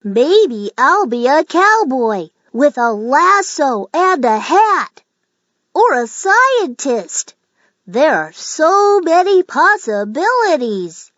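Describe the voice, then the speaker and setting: A glum little earthworm boy, played by a woman sighs over his dull life and dreams aloud in a storybook read-aloud. His voice sits fairly high with lively pitch and is slightly off-mic. The sound is dry and lo-fi. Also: slow pace, no echo, exaggerated voice. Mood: dreamy. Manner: mopey, self-pitying, wondering. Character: glum young male earthworm, wistful dreamer.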